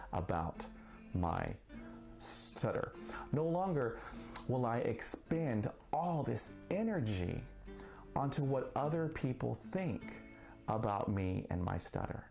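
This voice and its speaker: Slow-talking man who stutters. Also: medium pitch, animated, creaky, a little mellow. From Texas. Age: 40s. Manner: stutters, slow and deliberate with pauses. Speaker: vlogger. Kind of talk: personal monologue. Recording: faint guitar music behind.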